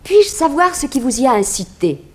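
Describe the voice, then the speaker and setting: A woman's voice: high voice, big pitch swings, normal speed. An actress playing a curious, polite woman reporter in a 1960s French radio drama, attentive and well-spoken. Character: polite, curious woman newspaper reporter in 1920s New York.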